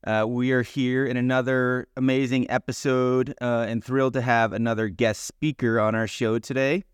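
A man's voice: medium pitch, level tone, moderate pace, smooth, dry recording, clean studio sound. A man co-hosting a podcast in a casual, conversational style. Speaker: podcast co-host.

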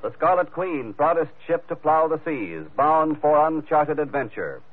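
A man's voice: on the high side, expressive, unhurried, phone-quality sound. A man announcing a 1940s radio show in a theatrical, proclaiming style. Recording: thin vintage radio with loud music.

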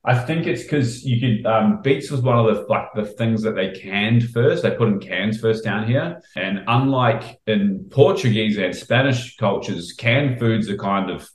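Chatty New Zealand man, low voice. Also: measured pace, few pauses, echoey room. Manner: relaxed, rambling, frequent fillers and restarts. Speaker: chef guest. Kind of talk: casual interview chat.

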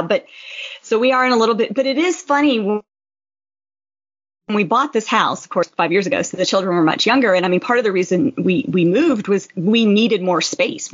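A woman's voice: medium pitch, dull audio. A middle-aged woman co-hosting a podcast in casual, conversational chat. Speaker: podcast co-host.

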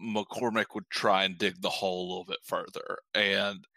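A man's voice: little pitch movement, normal speed, creaky, sharp tone, dry recording. A man co-hosting a casual sports podcast, talking in rambling, frustrated bursts with many restarts. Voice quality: deep voice. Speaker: podcast co-host.

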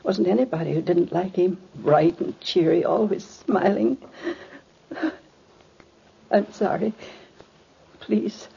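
An actress playing a gentle, grieving mother in her mid sixties in a 1950s radio drama, polite, hesitant and emotional. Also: fairly low pitch, slow, gravelly, pauses often, breathy edge, exaggerated voice. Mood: grieving, dazed. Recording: muffled vintage radio recording.

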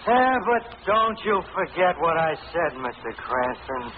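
Backwoods swamp boatman: folksy Southern drawl, unhurried, tinny old radio sound. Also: a little high, sing-song, a little hiss or hum. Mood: warning. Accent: American rural Southern. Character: superstitious rustic swamp boatman, lovesick and meddling. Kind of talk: 1940s radio acting.

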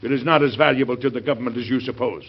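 A man playing an indignant, burdened middle-aged American president in an old radio drama, speaking formally and emphatically with a gravelly, husky voice. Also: medium pitch, rapid-fire. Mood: firm, impatient. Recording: muffled vintage radio broadcast.

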